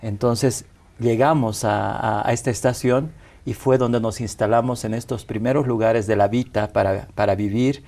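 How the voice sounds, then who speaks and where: Bolivian man, 40s, TV interview guest; mellow, dark timbre, measured and reflective delivery. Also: mid-range voice, fairly even intonation. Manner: measured, frequent tag questions and restarts. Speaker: interview guest, rights activist. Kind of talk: reflective personal storytelling. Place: television studio.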